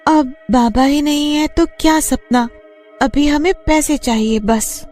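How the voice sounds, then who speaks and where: A woman's voice: sits high, measured pace, a little mellow, faint music behind. A woman playing an earnest, determined college-age daughter in a cartoon story. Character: determined young college girl, grieving daughter. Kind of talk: Hindi cartoon story dubbing.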